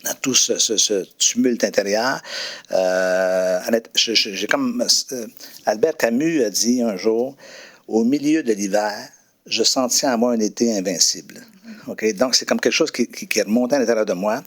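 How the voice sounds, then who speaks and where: A man's voice: lowish voice, normal speed. A middle-aged man, a preacher, telling his personal story in an interview, reflective and hesitant with frequent fillers and restarts.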